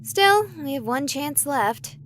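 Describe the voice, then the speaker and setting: An actress voicing a high-strung, easily panicked young woman streamer in a dramatic visual novel style. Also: expressive, slow pace, fairly bright tone, slight background noise, heavily acted. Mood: playfully theatrical. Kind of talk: animated visual novel voice acting.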